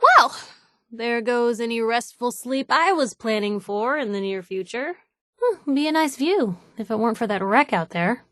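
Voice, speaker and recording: A young woman with an American accent playing a plucky, wisecracking reporter companion in a video game, with a wry, expressive delivery. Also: a little high, moderate pace, dry recording, clean studio sound. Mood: wry, musing. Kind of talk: video game voice acting, in-character banter.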